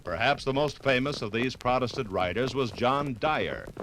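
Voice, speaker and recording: A man's voice: mid-range voice, expressive, normal speed, background noise. An American man giving grand, formal scripted narration for a 1950s radio documentary. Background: faint music.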